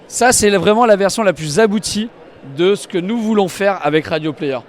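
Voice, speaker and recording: A man's voice: sits high, normal speed. A man giving an enthusiastic, explanatory live product demonstration as a company representative at a trade show. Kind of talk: live walk-through product demonstration to camera. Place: trade show stand and inside a car.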